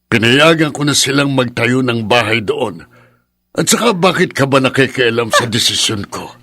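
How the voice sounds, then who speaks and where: A man's voice: mid-range voice, expressive, normal speed, bright, forceful tone. A Filipino man playing an earnest, generous but firm elderly father in a radio drama, speaking in a paternal way. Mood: stern, angry. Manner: earnest, paternal, scolding when crossed.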